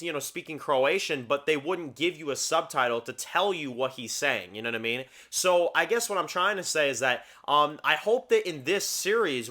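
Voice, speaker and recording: Bright-voiced Canadian man rambling on camera. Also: on the high side. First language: French. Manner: rambling, heavy on fillers like "you know what I mean". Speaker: YouTube TV reviewer. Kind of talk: casual talking-head commentary.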